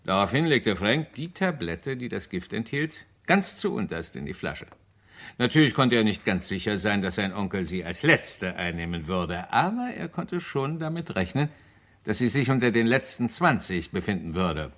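An actor playing a confident British police inspector in a German radio crime drama, explaining methodically with deliberate emphasis. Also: male voice, fairly low pitch, big pitch swings, fairly bright tone, heavily acted. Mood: assured, explanatory.

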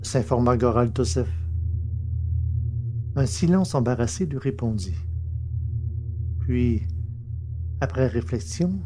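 A man's voice: medium pitch, expressive, slow pace, long pauses, background noise. A man reading scripted literary narration for a science fiction story, as its narrator.

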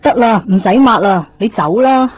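A woman's voice: a little high, lively intonation, normal speed, husky. A woman playing a jealous, prickly young woman in a Cantonese radio drama, with a Hong Kong accent. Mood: cold, annoyed. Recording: dull 1990s radio recording, music behind.

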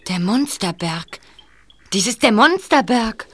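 A woman playing a cautious, loyal and excitable boy in a children's audio drama. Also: a little high, slow, a little hiss or hum. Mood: uneasy.

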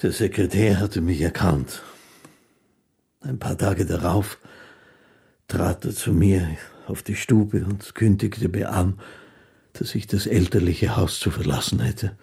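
A melancholy, hesitant man tells his own story in a literary radio play. His voice is deep and gravelly, heavily husky, with faint music underneath. He phrases reflectively, in short broken pieces. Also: fairly even intonation, slow, warm tone, exaggerated voice. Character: melancholy, timid official's son recounting his misfortunes. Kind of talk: literary first-person narration in a radio play.

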